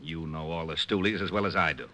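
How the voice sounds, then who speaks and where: An actor playing a blunt, easily flustered police detective in 1940s radio style. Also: male voice, a bass voice, fast talker, bright, forceful tone. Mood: businesslike. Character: gruff, exasperated police detective, man. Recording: vintage radio drama recording.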